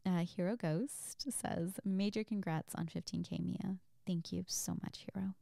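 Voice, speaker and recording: A woman chatting casually as a host, reading out and answering listener questions in a soft, giggly, rambling and hesitant way. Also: fairly low pitch, steady tone, measured pace, gravelly, dry recording, clean studio sound. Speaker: audio creator and host.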